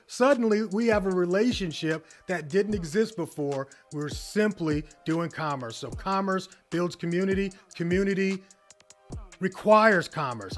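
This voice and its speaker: An American man with a fairly high voice delivers an upbeat motivational coaching talk. He keeps tagging his points with a quick "right?". Soft music plays low behind him. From United States. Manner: energetic, tags lines with "right?". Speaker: business coach. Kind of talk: motivational teaching monologue.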